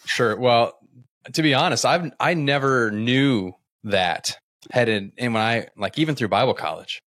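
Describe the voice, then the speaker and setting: A man's voice: medium pitch, measured pace, fairly bright tone, dry recording, studio quality. A man who is a student and pastor, talking casually with lots of filler words and a joking tone. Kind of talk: casual podcast chat.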